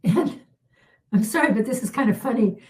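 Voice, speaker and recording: A middle-aged woman, a healer, leading a calm guided spiritual healing with unhurried talk and soft pauses, her voice slightly worn. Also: a little high. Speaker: intuitive healer hosting a live session.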